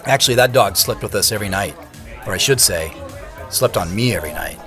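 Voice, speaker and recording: A man's voice: fairly low pitch, animated, brisk, sharp tone, faint music behind. A man acting as a wry, guarded younger brother and CEO in an audio drama, narrating in a conversational way. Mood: reflective. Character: adult brother, a celebrity CEO, playful and guarded. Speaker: actor and narrator. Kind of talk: first-person audio drama narration and dialogue.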